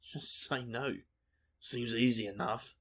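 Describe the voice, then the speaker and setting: An actor playing a brash, wisecracking investigator in a fantasy audio drama, a reckless charmer with a glib, deadpan delivery. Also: male voice, mid-range voice, takes it slowly, slightly rough, heavily acted. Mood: earnest.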